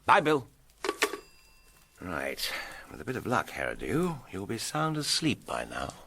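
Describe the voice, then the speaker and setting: A man's voice: fairly low pitch, huge pitch swings, measured pace, gravelly, very noisy, exaggerated voice. A man playing a breezy, cheerful, wry adventurer detective in a tongue-in-cheek period radio drama. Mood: confiding, urgent. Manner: jaunty, wry.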